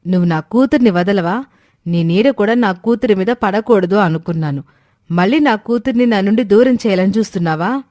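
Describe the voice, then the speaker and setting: A woman's voice: mid-range voice, normal speed, a little mellow, dry recording, studio quality, natural voice. A woman voicing an angry, suspicious young mother who is fiercely possessive and accusing, in a storybook drama. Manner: accusing, confrontational.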